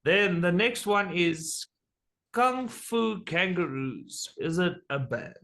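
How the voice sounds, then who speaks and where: A South African man with Afrikaans as his first language, hosting a relaxed, joking interview. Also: high voice, slow pace. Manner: relaxed, joking, swears casually. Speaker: interview host. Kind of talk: casual interview.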